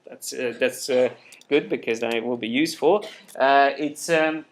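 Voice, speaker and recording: A man whose native language is Hungarian, teaching a live software class, explaining loosely with many fillers and restarts. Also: medium pitch, moderate pace, slight background noise. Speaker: software trainer. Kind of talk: live screencast class with an audience.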